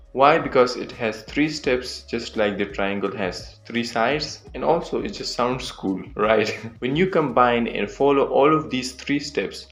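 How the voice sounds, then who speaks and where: Young male coach from Pakistan with an American accent, low voice, clear lesson delivery. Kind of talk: scripted to-camera lesson.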